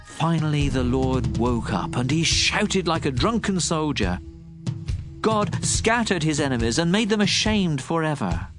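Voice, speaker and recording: Higher-pitched male scripture narrator over music. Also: very animated, measured pace. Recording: music bed under the voice.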